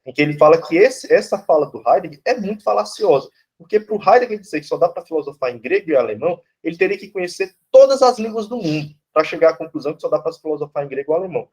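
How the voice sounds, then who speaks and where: Brazilian prof guy, kinda high voice, chatty blunt lecture, keeps saying né. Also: animated, fast talker, hardly any pauses, a little mellow, husky. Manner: conversational, tags lines with "né?" and "tá certo?"; blunt, casually swears. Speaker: philosophy professor. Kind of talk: informal lecture.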